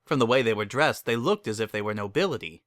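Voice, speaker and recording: Bright, animated male story narrator. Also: mid-range voice, quick pace, dry recording, clean studio sound. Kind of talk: scripted dramatic story narration.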